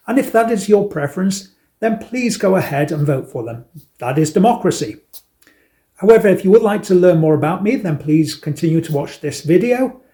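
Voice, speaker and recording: Manc guy in his 50s, dry jokey campaign pitch, highish voice but mellow. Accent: Manchester. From England. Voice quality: deadpan self-mocking delivery. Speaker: election candidate. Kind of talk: scripted campaign address with dry jokes.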